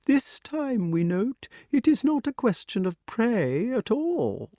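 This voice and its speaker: An aloof old owl with a high, swooping voice and a slow, mellow delivery, every line dripping with sarcasm. It sounds close-miked in a dead, dry booth. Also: male voice, big pitch swings, no room sound, exaggerated voice. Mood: sarcastic. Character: sarcastic, cold old male owl tutor. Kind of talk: audiobook character voice.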